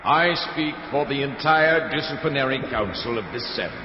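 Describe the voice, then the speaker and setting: An actor playing a cruel, powerful council leader in a science fiction radio drama, condescending and menacing. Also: male voice, medium pitch, animated, moderate pace, close to an everyday voice. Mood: cold authority. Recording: muffled echoing hall, loud music behind.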